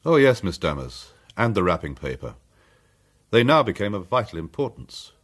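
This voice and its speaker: An actor playing a methodical police chief inspector in a period detective radio drama, speaking in a formal, measured, matter-of-fact way. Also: male voice, fairly low pitch, sing-song. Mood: businesslike.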